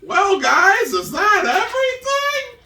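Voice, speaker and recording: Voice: very high-pitched, very animated, slow, bright, forceful tone, full character voice. A performer voicing a cheerful, bossy puppet housemate in an amateur puppet show. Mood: urgent. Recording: roomy, distant microphone.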